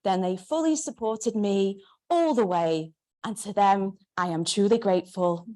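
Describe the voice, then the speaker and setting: A woman's voice: mid-range voice, measured pace, mellow, lightly acted. A woman voicing her younger self as an earnest teenage girl reading out her diary, gushing and sincere.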